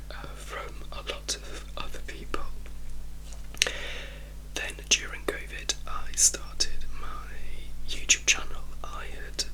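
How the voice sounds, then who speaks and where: Slow whispering man with a crisp hiss. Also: fairly high pitch, rough recording. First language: Italian. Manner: slow, soft whispering with frequent ums and pauses. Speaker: ASMR creator and English teacher. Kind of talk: whispered ASMR ramble.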